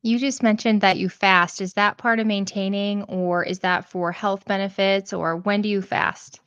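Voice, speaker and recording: A woman's voice: medium pitch, steady tone, normal speed, fairly bright tone, clean tone, no echo, highs cut off. A woman hosting a casual video-call interview, chatty and asking questions with many fillers. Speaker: show host.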